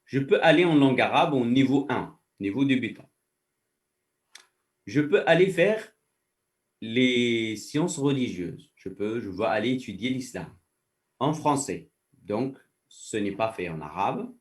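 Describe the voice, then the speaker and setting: A man's voice: medium pitch, lively intonation, measured pace, pauses often, distant mic. Man explaining patiently, off the mic. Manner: patient, explains through first-person examples. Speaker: faculty director, guest. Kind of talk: explanatory interview answers.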